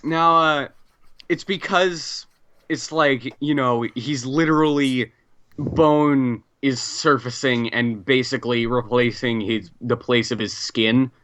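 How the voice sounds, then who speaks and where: A man playing a tabletop role-playing game, explaining his character in a halting, casual way. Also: medium pitch, fairly even intonation, a bit bright.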